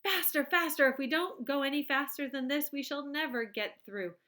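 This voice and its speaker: Storybook figure: a gushing, theatrical eccentric candy maker, put on by a woman reader, high and bright. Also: animated, moderate pace. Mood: gleeful.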